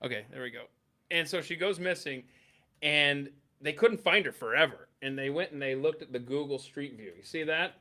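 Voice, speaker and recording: Bright, high-voiced man telling stories. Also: moderate pace. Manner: animated, emphatic, jokey. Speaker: host of a talk show. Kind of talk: casual chat, storytelling.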